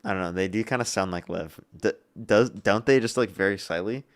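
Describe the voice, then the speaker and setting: A young man hosting a live debate stream, briskly taking callers and repeating his stock lines to viewers. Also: on the low side. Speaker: livestream debate host. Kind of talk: live-stream call-in chat.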